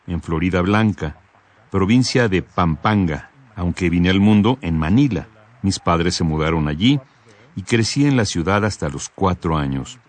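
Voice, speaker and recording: A man giving calm, scripted radio narration, reading a reflective first-person account in measured, unhurried phrases. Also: deep voice. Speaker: radio program voice reading a composer's testimony. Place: radio studio.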